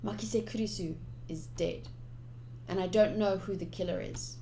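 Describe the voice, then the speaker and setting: A woman's voice: mid-range voice, steady tone, normal speed, vocal fry, warm tone, slight background noise. A woman streamer reading visual novel text aloud, with casual commentary of her own. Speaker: game streamer. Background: game music.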